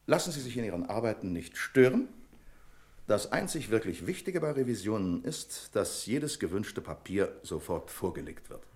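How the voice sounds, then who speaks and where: A pompous bank branch manager in a radio play. His low voice swings widely in pitch as he fusses and delegates. He sounds officious, self-important and suspicious. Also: big pitch swings, normal speed, darkish tone. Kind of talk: German radio play acting.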